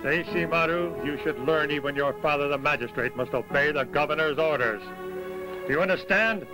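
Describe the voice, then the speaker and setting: A man voicing an arrogant, hot-tempered samurai governor in an animated film, domineering and sneering. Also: on the high side, moderate pace, over music, lightly acted, low-quality recording. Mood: condescending. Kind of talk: animated film dub acting.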